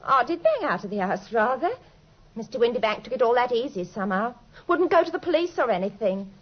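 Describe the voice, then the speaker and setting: An actress with an English accent playing an anxious, naive young typist in a radio drama, talking in an earnest, deferential, colloquial way. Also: female voice, on the high side, animated, a little fast. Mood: chatty, earnest. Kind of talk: classic radio drama acting. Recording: dull old radio recording.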